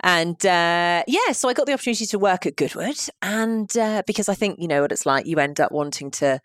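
A woman's voice: lowish voice, expressive, a little fast, smooth, no room sound, studio quality. A woman in her thirties with a London accent, co-hosting a podcast in casual chat and laughing often. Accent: London English. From London, England. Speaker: podcast co-host.

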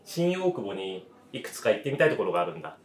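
A man's voice: deep voice, sing-song, rapid-fire. Man acting hesitant thirtysomething guy, echoey room. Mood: relaxed, hopeful. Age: 30s. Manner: hesitant, reflective, understated. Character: weary, self-doubting 35-year-old male cafe owner. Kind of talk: radio drama dialogue. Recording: roomy, echoing voice recording.

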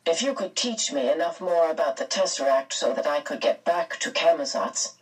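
A woman voicing a mild, determined middle-aged father in a storybook, polite and a little halting. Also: low-pitched, expressive, husky, far from the mic, poor audio.

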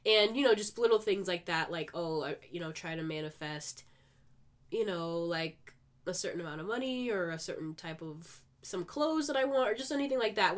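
A woman hosting a podcast solo with a congested, stuffed-up voice, chatting casually and informally in an upbeat way. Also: on the low side. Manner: chatty, informal, frequent 'like' and 'you know'; upbeat, positive. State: sick with a cold. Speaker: podcast host.

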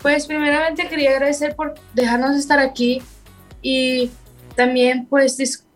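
Young woman, Spanish speaker, halting and searching for words, slightly high voice, faint music. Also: little pitch movement. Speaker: singer and bassist of a worship band. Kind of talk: interview guest.

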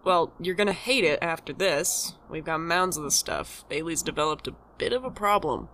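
A dry, bantering young friend, lower-pitched. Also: female voice, animated, moderate pace, fairly bright tone, a little hiss or hum. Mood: teasing. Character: young Micah, teasing, caring friend and host. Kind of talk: naturalistic audio drama acting.

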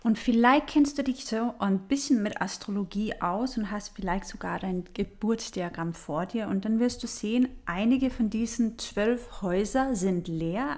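A woman speaking German as a non-native with a foreign accent, giving an informal, unhurried explanatory talk with pauses and a playful manner. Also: mid-range voice, a little mellow. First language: not German. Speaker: astrology host.

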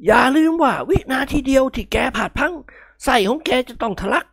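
A reader voicing a gruff, pompous old nobleman who barks and scolds in an audiobook. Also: high-pitched, brisk, a bit bright, no echo, studio quality, pitched above the performer's own voice, full character voice. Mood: stern. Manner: barking, blustery scolding. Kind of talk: audiobook character voice.

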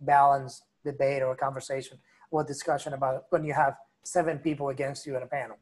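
A man's voice: medium pitch, level tone, fast talker, darkish tone. A man speaking as a guest commentator on a political podcast, emphatic and opinionated. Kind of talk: casual political podcast talk.

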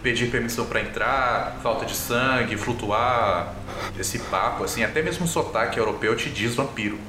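A man's voice: medium pitch, moderate pace, background noise. A man with a Brazilian accent narrating a tabletop game as game master. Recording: roomy echo, music underneath.

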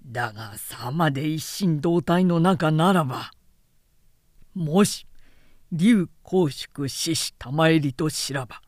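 A woman's voice: sits low, slow, a little mellow, no echo, studio quality. A woman voicing a loyal, proud male general of ancient China in an audiobook, with solemn, archaic formal speech. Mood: grave resolve. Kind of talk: audiobook character acting.

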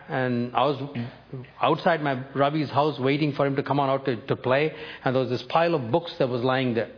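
Older man from North India, sermon, personal storytelling, mid-pitched voice, slightly dull recording. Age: 70s. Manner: personal storytelling, measured with emphatic lifts. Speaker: preacher.

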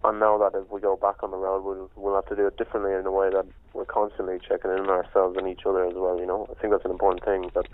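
A man's voice: low-pitched, monotone, quick pace, hardly any pauses. A young man from Spain, a band musician speaking reflectively in an interview, full of "you know" fillers. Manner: soft-spoken, many "you know" fillers. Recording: tinny phone-line sound.

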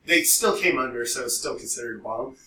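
A man co-hosting a podcast in casual, rambling chat, telling things in an animated way and swearing freely. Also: medium pitch, fairly quick. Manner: animated storytelling, frequent swearing. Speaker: podcast co-host. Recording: roomy echo, distant mic, faint noise.

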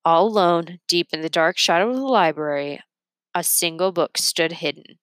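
A woman narrating a children's book aloud in an expressive read-aloud style. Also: fairly low pitch, normal speed, dry recording.

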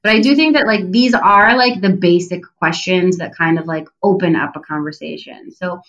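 A woman's voice: fairly low pitch, normal speed, a bit bright, reverberant, highs cut off. A woman from New Jersey with an American accent, a podcast guest talking casually with lots of fillers and easy laughter. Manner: chatty, many fillers, laughs easily. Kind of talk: casual conversation.

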